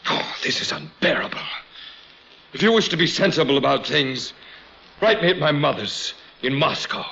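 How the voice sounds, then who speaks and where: A cold aristocratic officer in a vintage radio romance. His voice is fairly high and gravelly, with a forceful edge. He declaims impatiently and theatrically, in widely swinging tones. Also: lively intonation, moderate pace, a little hiss or hum, exaggerated voice. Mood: exasperated. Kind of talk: old-time radio drama acting.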